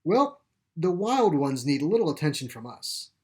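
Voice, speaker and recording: A man reading a story plays a calm, gentle caretaker of an animal sanctuary, explaining things with assurance. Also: a little high, unhurried. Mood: gently persuasive. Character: calm, reassuring pipe-smoking male caretaker of animal sanctuary. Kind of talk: storybook character dialogue.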